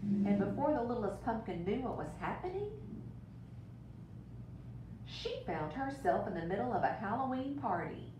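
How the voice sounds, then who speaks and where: A woman teacher reading a picture book aloud to children in an expressive, deliberate way, with brief asides. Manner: expressive, deliberate storytelling for young listeners. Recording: roomy, distant mic with rumbling noise.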